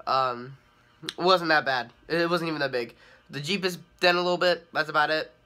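A young man chatting casually to his viewers in a bright, forward voice that sits a little high. He sounds slightly nasal from a cold. He rambles and hesitates, with frequent ums and false starts. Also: moderate pace, smooth. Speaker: vlogger. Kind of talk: casual update vlog.

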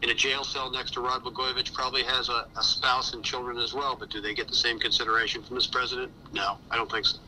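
A man's voice: medium pitch, level tone, fast, hardly any pauses, sharp tone. An American man giving his opinion as an interviewee over a telephone line. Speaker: former FBI agent, interviewee. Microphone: phone. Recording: phone line with faint noise.

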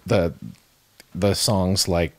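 A young man, a musician guest on a podcast, talking earnestly in a halting way with many restarts. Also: deep voice, fairly even intonation, dry recording. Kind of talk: casual interview chat.

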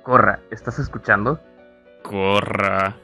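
A man playing a stern middle-aged father and mentor in a comic fandub, questioning in a measured, formal way. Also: on the low side, steady tone, bright, forceful tone, faint music behind, exaggerated voice. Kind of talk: amateur Spanish comic fandub.

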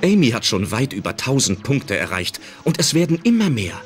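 A man's voice: medium pitch, big pitch swings, moderate pace, over music, close to an everyday voice. A man narrating a scripted anime audio drama as its storyteller.

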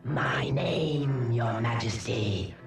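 A man voicing a scheming old male imp in an animated short, with a gravelly, drawn-out delivery. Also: medium pitch, far from the mic. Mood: menacing. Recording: echoey film soundtrack with music.

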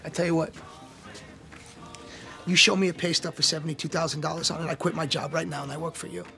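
A brash, fast-talking man in a film clip, skeptical and pushy. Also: fairly high pitch, steady tone, gravelly, sharp tone, soft background music.

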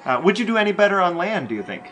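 A man co-hosting a casual podcast, telling stories with enthusiasm and asking deadpan questions. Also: sits high, racing, soft background music. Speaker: podcast co-host.

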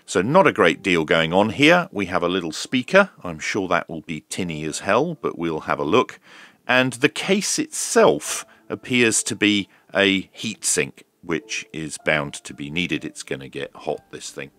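A man's voice: low-pitched, big pitch swings, measured pace, a bit bright, no room sound. A man casually narrating a hands-on product unboxing and review in an informal, running commentary. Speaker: host of a radio review channel.

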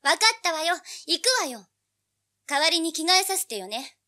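Very high-voiced feisty girl. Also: expressive, moderate pace, bright, forceful tone, exaggerated voice. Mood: grudging. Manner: indignant, snappy. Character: feisty, sharp-tongued teenage girl. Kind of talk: audio drama acting.

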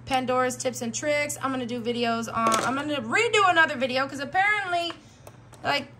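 Blunt, higher-pitched American woman vlogger, noisy recording. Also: fairly quick. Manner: blunt, slangy, emphatic with repetition. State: voice worn out, losing it. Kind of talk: casual chat to viewers, product review.

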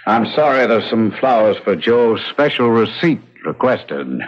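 A senior hospital doctor in a seventies radio drama. He is calm and considerate, with a lowish, varied voice and a dull old-broadcast sound. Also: a little slow. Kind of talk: 1970s radio drama acting. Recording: dull vintage radio recording.

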